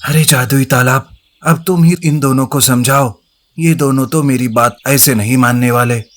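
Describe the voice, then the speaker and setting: A man acts out a wise pond turtle in a cartoon fable. His pitch is average for a male. He admonishes like an elder who keeps the peace. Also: fairly even intonation, normal speed, lightly acted. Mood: exasperated. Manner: admonishing, lecturing. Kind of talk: Hindi animated moral story dialogue.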